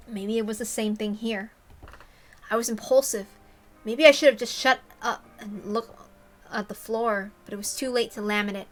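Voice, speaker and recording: A woman's voice: on the high side, fairly bright tone. A woman reading a story aloud expressively, with casual commentary of her own. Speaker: reader and commentator.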